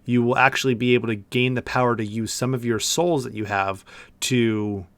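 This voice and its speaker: A man's voice: mid-range voice, fairly even intonation, normal speed, a bit bright, clear, resonant tone, no echo. Casual man talking games, dry close sound. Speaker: podcast co-host. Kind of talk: casual video game discussion.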